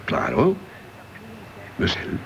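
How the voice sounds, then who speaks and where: An actor with a Castilian Spanish accent playing an earnest, anxious, protective husband and doctor in dramatic, questioning radio theatre style. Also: male voice, slow pace, a bit bright. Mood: restrained, probing. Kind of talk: 1970s radio theatre acting. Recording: dull, noisy 1970s radio recording.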